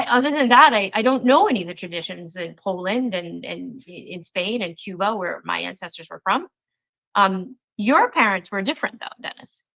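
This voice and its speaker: A woman's voice: medium pitch, a bit bright. A woman co-hosting a casual talk show, explaining her points earnestly and with emphasis. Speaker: co-host. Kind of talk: casual chat. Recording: slightly dull lo-fi recording.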